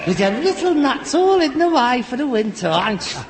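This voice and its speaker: A man's voice: very high-pitched, sing-song, fairly quick, heavily acted. An actor playing a sarcastic, exasperated grown son in a British sitcom, a London rag-and-bone man with a mocking manner. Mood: aggrieved. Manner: mocking, sardonic. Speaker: actor in a comedy. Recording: reverberant, noisy old sitcom recording.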